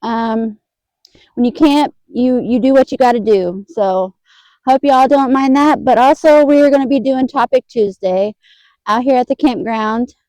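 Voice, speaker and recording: A woman hosts a relaxed food vlog outside at a campground at night. Her voice sits a little high but sounds warm and mellow. She talks in a slow, drawn-out way, full of fillers, and the sound is dry and close. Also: dry recording, low-quality recording. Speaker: vlog host. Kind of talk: casual food vlog chat.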